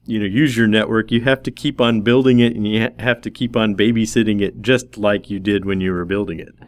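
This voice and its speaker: A man's voice: lowish voice, fairly quick. A man in his forties hosting a podcast, chatting casually and joking easily with his guest. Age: 40s. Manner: relaxed and joking, laughs easily. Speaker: podcast host. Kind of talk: casual interview chat.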